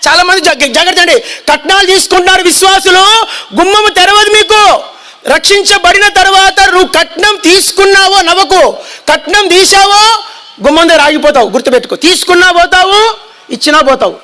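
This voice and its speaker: Male preacher, piercing high voice, shouts, drills the congregation with repeated lines. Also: a little fast. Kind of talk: fiery sermon.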